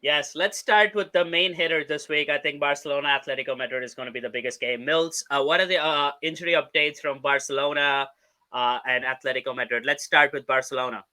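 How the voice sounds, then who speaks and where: An upbeat man hosts a casual sports podcast, his voice bright and a touch high. He keeps things moving, tossing quick questions to his co-hosts. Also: fairly even intonation. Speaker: podcast host.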